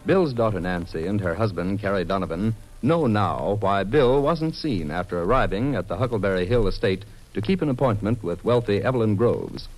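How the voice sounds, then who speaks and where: Male announcer for vintage radio soap opera, lowish voice, measured dramatic narration over music. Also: lively intonation, a little mellow, poor audio.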